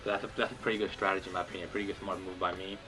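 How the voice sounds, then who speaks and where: A man's voice: level tone, racing, music in the background, low-quality recording. A young man casually commentating his own video game battle, rambling with lots of fillers. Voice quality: says he has a deep voice. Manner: rambling, filler-heavy, lots of "like" and "you know".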